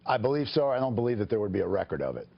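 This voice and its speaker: A man speaking as an attorney in a live TV news interview, explaining formally and haltingly. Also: on the low side, big pitch swings, gravelly, muffled recording.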